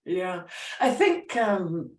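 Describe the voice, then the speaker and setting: A woman, a writer and teacher, talking through her ideas with animated thoughtfulness and many restarts at a public talk. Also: on the low side, extremely slow. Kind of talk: conversational storytelling. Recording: roomy echo.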